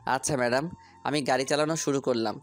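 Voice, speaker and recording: A man's voice: medium pitch, fairly even intonation, fast, close to an everyday voice. A man playing a dutiful young servant and driver in an audio story, polite and deferential, also narrating in first person. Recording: music bed under voice.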